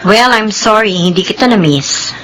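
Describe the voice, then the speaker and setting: A woman's voice: medium pitch, expressive, normal speed, a bit bright, a little hiss or hum, close to an everyday voice, poor audio. An actress playing a gracious but firm young businesswoman in a Filipino radio drama. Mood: annoyed, defiant.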